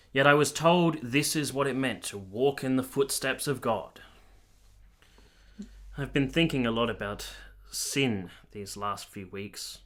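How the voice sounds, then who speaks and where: Earnest period gentleman played by a male actor, radio play. Lowish male voice, measured and formal, a reflective, troubled lover. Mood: guilty, reflective. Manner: measured, formal literary phrasing. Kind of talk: radio drama dialogue and first-person narration.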